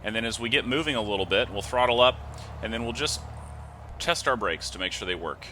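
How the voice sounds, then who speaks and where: A man's voice: mid-range voice, expressive, a little fast, bright, forceful tone, a little hiss or hum. A man giving methodical, instructional step-by-step flight simulator commentary, reading off checklist items. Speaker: host of a flight simulator video series.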